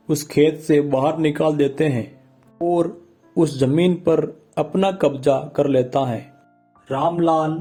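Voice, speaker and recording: A man's voice: fairly high pitch, warm tone. A man narrating a scripted moral story in simple language, with plucked guitar music behind him. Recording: music bed under the voice.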